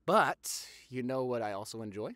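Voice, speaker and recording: A man acts out a playful, affectionate young boyfriend spilling gossip in an audio roleplay. His delivery is theatrical and teasing, swinging widely in pitch, and the sound is dry with no room around it. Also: animated, normal speed, a bit bright, dry recording, close to an everyday voice. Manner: theatrical, exaggerated, teasing, chatty.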